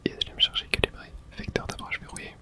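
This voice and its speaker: Constant soft unvoiced whisper, ASMR gamer. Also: fast. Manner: hushed, unvoiced whispering throughout. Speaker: video game streamer. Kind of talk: whispered ASMR game playthrough, reading dialogue aloud.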